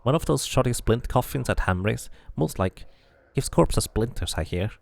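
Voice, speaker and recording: A man's voice: mid-range voice, lively intonation, normal speed, vocal fry, darkish tone. A man voicing a cranky, scornful old woman who gossips and mutters insults in a fantasy game. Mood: gossipy.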